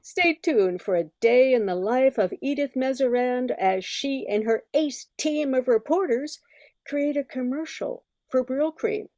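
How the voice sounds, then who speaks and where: A woman's voice: high-pitched, a little slow, darkish tone, no echo. An older woman reading scripted narration as the announcer of a radio show.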